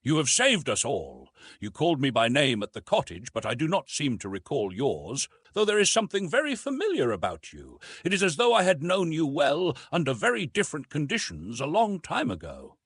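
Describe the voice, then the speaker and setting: A man voicing an earnest, polite, exclamatory young gentleman in an adventure audiobook. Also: a little high, wildly swooping pitch, moderate pace, few pauses, husky, dry recording, clean studio sound, pitched above the performer's own voice, full character voice. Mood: grateful, curious.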